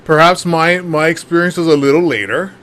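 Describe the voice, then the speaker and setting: A man's voice: a little high, a little fast, fairly bright tone, slight background noise. A man in his fifties from Hawaii with a Hawaiian Pidgin-tinged accent, telling his story to a group in a chatty, self-deprecating way with lots of fillers and laughs. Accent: Hawaiian Pidgin-tinged English. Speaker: panel speaker.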